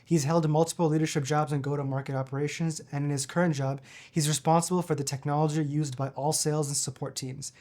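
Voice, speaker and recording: A young man with a fairly high voice hosts the podcast. He reads his introduction and asks questions in an upbeat, effusive way, recorded in an office studio. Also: little pitch movement, fast talker, hardly any pauses, a little mellow. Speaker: podcast host. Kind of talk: scripted intro and interview questions.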